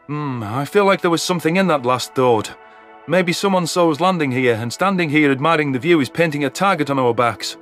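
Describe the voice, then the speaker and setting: A man's voice: a little high, fairly quick, a bit bright. A man reading scripted first-person science fiction narration as an audio story narrator. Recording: music under the voice.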